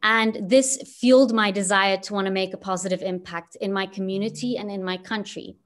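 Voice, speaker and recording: A woman's voice: mid-range voice, steady tone, normal speed. A woman from Zimbabwe speaks as an entrepreneur presenting to a webinar audience, sharing her personal journey. Her talk is earnest and reflective, and she often asks rhetorical questions, heard through a video call connection.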